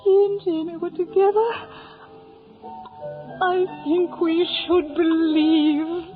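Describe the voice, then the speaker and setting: A woman's voice: extremely high pitch, little pitch movement, slow. A woman playing an anxious, grieving mother, tremulous and distraught, in a 1950s radio drama. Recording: tinny vintage radio recording with music.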